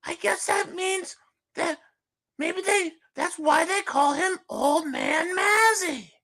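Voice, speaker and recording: A man voicing a gushing woman host in falsetto on a comedic livestream, starting almost every line with "well". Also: slow pace, sharp tone, heavily acted. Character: shrill fake woman hostess, gushing. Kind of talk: comedic livestream character bit.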